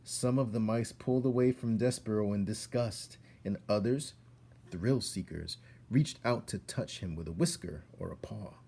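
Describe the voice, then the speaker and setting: A man reading a storybook aloud as narrator, with expressive delivery. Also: lowish voice, normal speed, creaky, husky.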